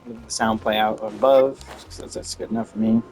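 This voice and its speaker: A man's voice: fairly low pitch, steady tone, brisk, darkish tone. A man streaming games, talking in a loose, off-the-cuff ramble with faint music underneath. He keeps interrupting his own sentences and grumbles in slang with mild exasperation. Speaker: game livestreamer. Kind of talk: casual rambling stream chat.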